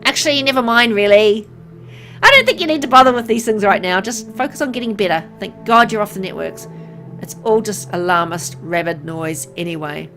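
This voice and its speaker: Caring, stubborn young woman, acted by a female reader, brisk and affectionate, visual novel, music underneath. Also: mid-range voice, expressive, sharp tone. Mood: uneasy. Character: caring, stubborn young woman visiting her sick partner.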